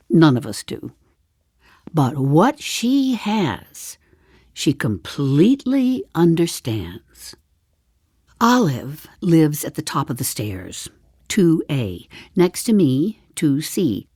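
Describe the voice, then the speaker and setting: An older actress playing a wry, chatty seventy-five-year-old woman in a darkly comic stage monologue, musing to the listener with deliberate pauses. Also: low voice, a little mellow, slightly rough, no echo, clean studio sound, full character voice. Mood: confiding, wry. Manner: deliberate, dramatic pauses, dropping to confiding asides. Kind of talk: theatrical monologue, storytelling to the listener.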